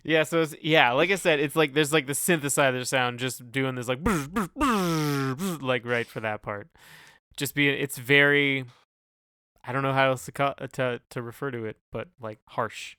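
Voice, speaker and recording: A man's voice: medium pitch, moderate pace, pushed and bright, clean tone, no room sound, studio quality. A man co-hosting a casual music review podcast, speaking in a hesitant, loosely phrased way as he gives opinions. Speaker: podcast co-host.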